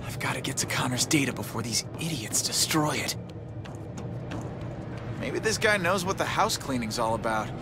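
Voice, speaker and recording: An actor playing a young, cocky, playful superhero in a video game, teasing and full of quips. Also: male voice, mid-range voice, lively intonation, moderate pace, long pauses, close to an everyday voice. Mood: wry, quiet. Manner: quippy, teasing. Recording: loud music over the voice.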